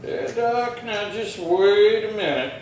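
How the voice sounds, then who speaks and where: An actor playing a calm, dry, unhurried Old West marshal in a radio drama. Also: male voice, high-pitched. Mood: dry, wry. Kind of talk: old-time western radio acting. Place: live theatre stage performance. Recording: very roomy, distant stage pickup, faint noise.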